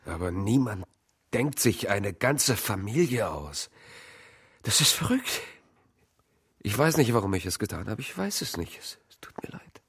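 An actor playing a lonely, broken man in a radio drama, halting and confessional, with a breathy, creaky voice. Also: lowish voice, very animated, a bit bright, full character voice. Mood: anguished. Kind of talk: naturalistic German radio drama acting.

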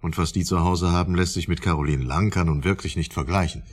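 Husky, probing male psychotherapist. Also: sits low, fast talker. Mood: probing, sceptical. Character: probing, polite, drily sceptical male psychotherapist. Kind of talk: German radio drama acting.

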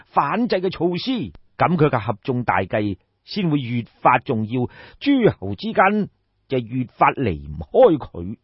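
A man's voice: fairly high pitch, breathy edge, no echo, muffled recording, exaggerated voice. A man voicing a shrewd, commanding ancient king in an audiobook, lecturing in a pompous, rhetorical way. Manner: pompous, rhetorical, sighing and explaining at length. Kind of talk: dramatised audiobook storytelling.

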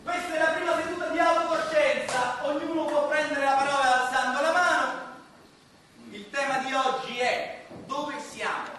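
A man's voice: very high-pitched, moderate pace, sharp tone. A man acting an earnest, obsessive eccentric in a radio comedy, solemn and pedantic. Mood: earnest chairing. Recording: very echoey, distant, noisy.

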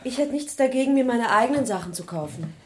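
Highish, reluctant young daughter amid clatter played by a woman. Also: expressive, fast talker, a little hiss or hum, close to an everyday voice. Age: young adult. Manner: reluctant, evasive, mildly defiant. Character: hesitant, stubborn college-age daughter. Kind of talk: radio play acting. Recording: clear clatter of dishes and cutlery.

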